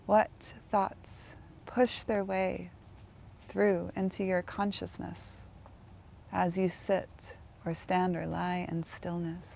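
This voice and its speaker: Female meditation guide: soft-toned and relaxed, gravelly fry, gentle unhurried phrasing with long gaps. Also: lowish voice, slight background noise.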